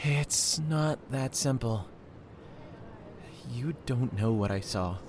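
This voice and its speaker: A man's voice: medium pitch, animated, takes it slowly, darkish tone, a little hiss or hum. A man playing a troubled, easily frightened young man in an audio drama. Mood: dejected.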